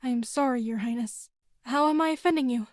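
A woman's voice: on the high side, fairly quick, dry recording, clean studio sound, close to an everyday voice. A woman playing a timid, apologetic young palace maid in an audio drama, meek and deferential. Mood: meek, anxious.

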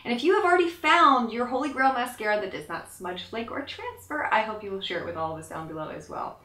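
A woman's voice: on the high side, animated, quick pace, pushed and bright, echoey room. A young woman doing an upbeat beauty product review to camera, with bubbly, emphatic delivery. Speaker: beauty vlogger. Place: small pink-walled room, indoors.

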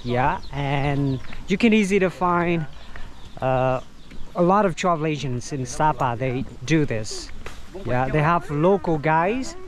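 A man with a Vietnamese accent, a tour guide vlogging casually while trekking outdoors, speaking halting English and searching for words, recorded on an action camera. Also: fairly high pitch, expressive, a little hiss or hum. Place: outdoors on a mountain trail. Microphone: action camera carried along.

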